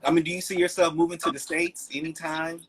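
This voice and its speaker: A podcast host from Texas asking questions in a casual, animated way. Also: mid-range voice, background noise. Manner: animated, quick reactions and laughs. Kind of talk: casual interview chat.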